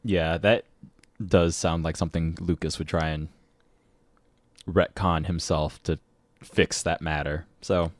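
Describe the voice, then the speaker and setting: A man's voice: low-pitched, steady tone, a little slow, pauses often, no echo. Easygoing man, slight northern Midwest accent. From Michigan. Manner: easygoing, repeats words. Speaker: podcast co-host. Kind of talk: casual review chat.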